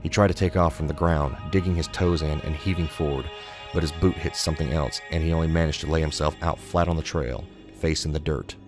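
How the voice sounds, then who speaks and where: A man's voice: very deep voice, steady tone, fairly quick, over music. A man reading a suspense horror story as scripted narration.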